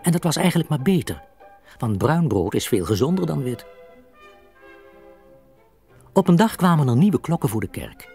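An actor as the narrator of a children's radio play, a man telling the story in a husky voice. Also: a little high, very animated, moderate pace, pauses often, darkish tone, faint music behind. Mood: storytelling.

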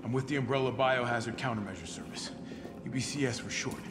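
A man's voice: medium pitch, quick pace, music in the background, lightly acted. A man playing a cocky, easygoing young mercenary soldier in a video game, casual, friendly and reassuring. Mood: reassuring, urgent. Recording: echoey, loud background noise.

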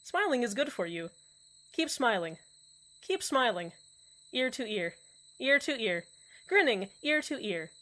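A woman's voice: fairly high pitch, sing-song, measured pace, pauses often, pushed and bright, heavily acted. A woman playing a lonely radio host in an audio drama who insists that everything is fine. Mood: forced cheer. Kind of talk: scripted fan audio drama, radio monologue.

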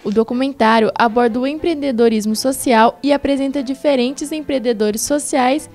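A woman reading a scripted news voice-over in an even, formal way. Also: high voice, normal speed. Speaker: news reporter.

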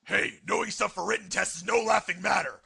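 Casting: menacing cartoon drill-sergeant proctor, very raspy, piercing, sarcastic bully. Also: male voice, high voice, steady tone, fairly quick, pushed and bright, full character voice. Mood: ranting. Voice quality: gravelly, raspy. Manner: barking, theatrical, sarcastic. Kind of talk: comic anime parody dub acting.

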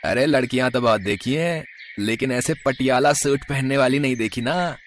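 A cheeky young village loafer with little courage, played by a man in an animated tale. His pitch is middling and there is faint noise behind him. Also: moderate pace, lightly acted. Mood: cheeky, nervous.

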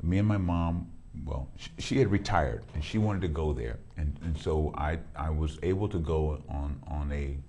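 A man's voice: extremely low pitch, moderate pace, warm tone, a little hiss or hum. A middle-aged man from Los Angeles, a pastor hosting a TV studio interview, talking in a rambling way with lots of repeated words. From Los Angeles, California. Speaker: pastor and talk show host. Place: TV studio with backdrop.

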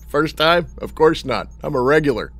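A man's voice: fairly high pitch, animated, unhurried, a bit bright, heavily acted. A man playing a breezy, cheerfully reckless chatterer. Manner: jaunty, blasé, anecdotal. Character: breezy, cheerfully reckless, chatty regular customer named Charlie. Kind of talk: comedy audio drama acting.

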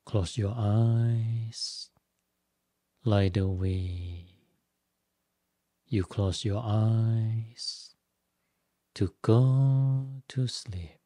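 Very slow, dark-voiced man guiding meditation. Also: on the low side, no room sound, clean studio sound. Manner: slow, gentle instructions in short phrases with long pauses. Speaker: Buddhist monk, meditation teacher. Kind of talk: guided meditation.

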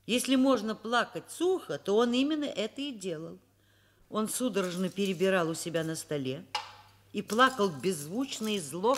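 A woman's voice: mid-range voice, slight background noise, close to an everyday voice. An older actress playing a reflective, kindly and candid woman professor in a Soviet radio play. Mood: concerned, probing. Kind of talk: Soviet radio drama narration. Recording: vintage radio play, music underneath.

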